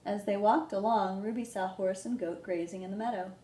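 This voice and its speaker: A woman hosting a children's storytime, explaining things simply and gently and reading a picture book aloud. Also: medium pitch, steady tone, fairly quick. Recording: roomy echo, background noise.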